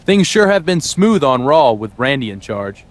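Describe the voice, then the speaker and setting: A man's voice: mid-range voice, very animated, normal speed, natural voice. A man playing a smug, threatening wrestling henchman in a scripted video game scene, blunt in delivery. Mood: smug menace. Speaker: pro wrestler.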